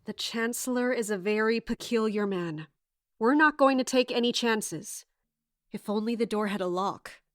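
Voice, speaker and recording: A woman's voice: fairly high pitch, no room sound, clean studio sound, close to an everyday voice. A woman playing a resolute, guarded guard captain, speaking formally and narrating in a fantasy audio drama. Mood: firm, worried. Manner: formal, measured, commanding.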